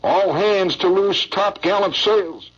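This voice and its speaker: A man's voice: high-pitched, slow, breathy edge, voice pushed up, heavily acted. A man voicing a ship's captain and first mate in urgent, dramatic hollers during a radio poem reading. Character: commanding ship's captain and alarmed first mate, shouting sailors. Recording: dull lo-fi 1970s radio broadcast.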